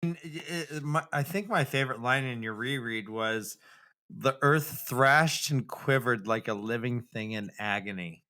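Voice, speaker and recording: A man's voice: mid-range voice, a little slow, pushed and bright. A man co-hosting a podcast, talking casually about a book. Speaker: podcast co-host.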